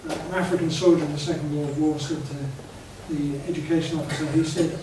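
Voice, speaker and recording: A man on an academic panel speaking in a measured, scholarly way. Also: fairly high pitch, level tone. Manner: measured, scholarly, clears his throat. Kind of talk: academic round-table discussion. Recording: echoey hall, distant mic, background noise.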